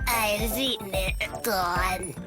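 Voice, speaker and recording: A woman's voice: mid-range voice, takes it slowly, close to an everyday voice. Woman voicing a detached, clinical parasite creature, music behind. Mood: cold, matter-of-fact. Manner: formal, precise, detached, clinical speech. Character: intelligent emotionless parasite creature living in a boy's hand. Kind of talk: anime dub acting.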